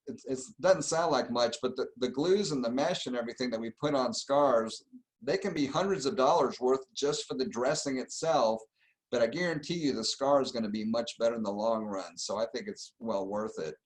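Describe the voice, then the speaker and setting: A man's voice: medium pitch, moderate pace, low-quality recording. A middle-aged man from Argentina, a surgeon being interviewed, explaining things in an easygoing, conversational way. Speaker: plastic surgeon, guest. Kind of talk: casual interview.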